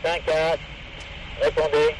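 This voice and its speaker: An actor playing an alert police lookout reporting by radio in a French drama, clipped and urgent. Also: male voice, fairly high pitch, completely flat delivery, takes it slowly. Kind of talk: French radio play acting. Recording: very noisy radio transmission.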